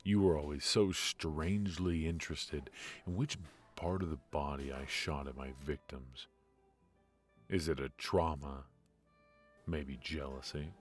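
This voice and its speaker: An extremely low, unhurried male voice for a brooding mafia defector, acted by a man in a noir ASMR roleplay. He is ruthless yet remorseful, confiding in halting, intimate phrases over faint background music. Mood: teasing, amused. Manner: halting, hesitant, confiding delivery.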